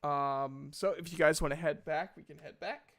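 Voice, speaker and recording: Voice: medium pitch, moderate pace. A game master casually talking with players and narrating a tabletop game.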